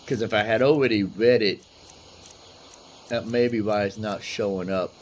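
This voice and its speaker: A man's voice: on the low side, steady tone, normal speed, slight background noise. A man hosting a gaming video with casual commentary, thinking aloud and repeating himself. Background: game music.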